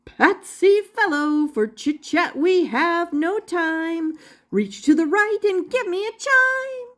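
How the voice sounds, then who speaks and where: Woman playing an eccentric professor, high and singsong. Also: animated, slow, a bit bright, heavily acted. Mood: bossy, singsong. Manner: singsong, commanding, theatrical. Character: bossy, rhyming eccentric male music professor.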